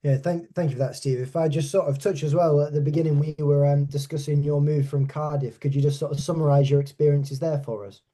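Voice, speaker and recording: Mellow, very dark-voiced male host. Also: fairly high pitch, steady tone, a little fast, hardly any pauses. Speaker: webinar host. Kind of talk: polite hosted panel questions.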